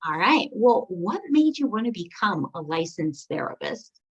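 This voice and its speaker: A woman hosting a podcast interview, eager and quick to affirm her guest. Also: medium pitch, sing-song, breathy edge. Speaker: podcast host. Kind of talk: casual interview. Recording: muffled lo-fi audio.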